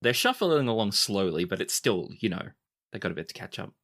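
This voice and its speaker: A man's voice: fairly low pitch, very animated, a little fast, a bit bright. A man speaking as game master, improvising narration for a tabletop game in a conversational, hesitant way.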